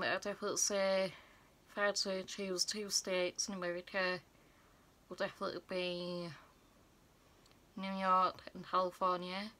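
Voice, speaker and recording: A woman of twenty-one chatting casually to a phone camera in her bedroom as a vlogger, relaxed with many fillers. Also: medium pitch. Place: small bedroom indoors.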